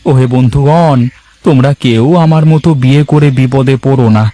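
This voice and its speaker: A man voicing village farmers in a cartoon fairy tale, colloquial and expressive. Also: medium pitch, normal speed, no echo, natural voice. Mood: exasperated. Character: male villagers: plain farmers, husband, scheming rival.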